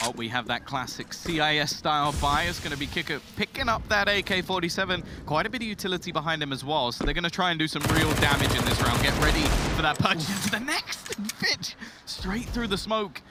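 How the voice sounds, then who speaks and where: Male play-by-play caster, high-ish, energetic, over a noisy feed. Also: a little fast. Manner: energetic, rises to shouts on big plays. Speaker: esports caster. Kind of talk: play-by-play commentary.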